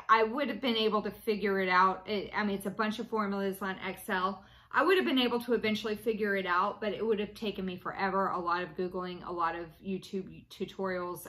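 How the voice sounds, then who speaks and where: A woman's voice: mid-range voice, sharp tone. A woman in her late thirties chatting casually to camera at home about her cross-stitch project, rambling with many ums and restarts. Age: 30s. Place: small room indoors at home.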